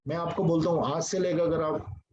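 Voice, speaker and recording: Male pastor, earnest sermon, slightly high voice, dull lo-fi recording. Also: fairly even intonation, brisk, a little mellow. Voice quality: mixes English phrases into speech. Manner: earnest, repetitive, addresses congregation directly. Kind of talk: sermon teaching. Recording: dull lo-fi recording with faint noise.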